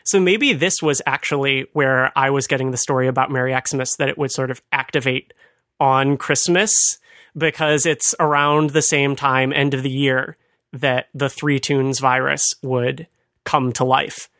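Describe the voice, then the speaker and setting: A man's voice: mid-range voice, steady tone, unhurried, a bit bright, dry recording, clean studio sound. A man hosting a podcast, explaining things in a casual, chatty way and laughing at his own asides. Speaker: podcast host.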